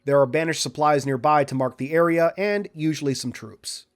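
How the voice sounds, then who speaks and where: A man reading a scripted video game walkthrough in a measured, orderly, instructional way. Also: a little high. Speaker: video game guide narrator. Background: background music.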